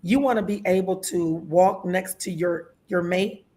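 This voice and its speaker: Lively sixty-year-old woman host, lower voice. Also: measured pace. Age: 60s. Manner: lively, laughs often, chatty. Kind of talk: casual interview talk.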